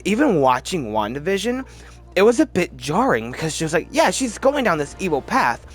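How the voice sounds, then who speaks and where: A man hosting a casual, opinionated commentary video, talking in a rambling, emphatic way and often interrupting himself. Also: fairly high pitch. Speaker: video host.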